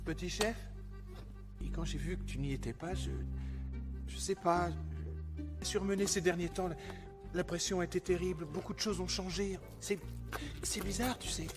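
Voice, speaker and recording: A man playing an awkward, earnest young cook in an animated film, hesitant and stammering. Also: sits high, normal speed, pauses often, over music, close to an everyday voice. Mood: apologetic. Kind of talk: dubbed animated film acting.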